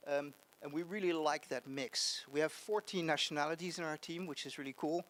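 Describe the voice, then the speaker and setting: A man giving a relaxed conference talk on stage, pausing often with ums. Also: fairly high pitch. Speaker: conference speaker.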